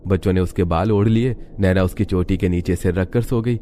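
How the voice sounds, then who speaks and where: A man's voice: low voice, brisk, dark tone, a little hiss or hum. A man reading scripted story narration as the narrator.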